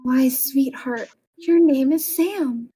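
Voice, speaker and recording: Eerily sweet hospital nurse, acted by a woman, high, measured, sinister, for a horror storybook. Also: dry recording. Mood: sweetly sinister. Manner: calm, drawn-out.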